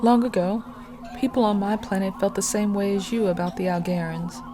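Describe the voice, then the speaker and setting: A woman playing a calm, tactful starship first officer in a sci-fi audio drama. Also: medium pitch, fairly quick, noisy background, close to an everyday voice. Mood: gentle. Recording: music behind the voice.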